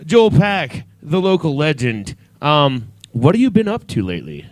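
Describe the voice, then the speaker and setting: A man's voice: on the high side, big pitch swings, moderate pace, no echo. A man hosting a casual, unscripted radio talk show.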